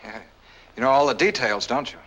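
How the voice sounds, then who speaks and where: A man's voice: medium pitch, a little fast, fairly bright tone, close to an everyday voice, rough recording. Man playing tense, blunt young noir hero. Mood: suspicious. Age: young adult. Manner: blunt, insistent, disbelieving. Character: suspicious, blunt young man hunting for his fiancée. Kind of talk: 1940s film noir acting. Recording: old 1940s film soundtrack.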